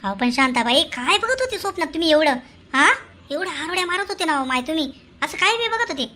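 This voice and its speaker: A woman's voice: very high voice, very animated, fast, bright, forceful tone, full character voice. A woman voicing a chattering, teasing village neighbour woman in a comic cartoon, with a Khandeshi Marathi accent. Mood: teasing, curious. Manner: exclamatory, gossipy, rural colloquial.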